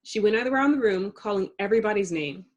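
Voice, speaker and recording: A woman narrates a children's story in a mid-pitched voice. Her intonation is very animated, and she adds dramatic emphasis to build suspense. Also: fast talker, dull audio. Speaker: storybook reader.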